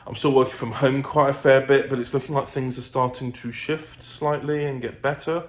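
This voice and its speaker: A man's voice: mid-range voice, fairly even intonation, moderate pace. A man chatting casually in a vlog, rambling and hesitant as he goes. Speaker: vlogger. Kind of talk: casual chat to camera. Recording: clear background noise.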